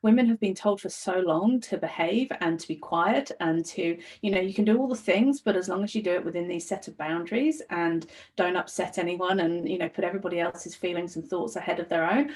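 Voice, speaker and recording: An English woman with a fairly low voice chats as a podcast guest. She sounds earnest and eager and often restarts her sentences with ums. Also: a little fast, few pauses, a little mellow. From United Kingdom. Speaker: life coach, podcast guest. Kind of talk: casual podcast conversation.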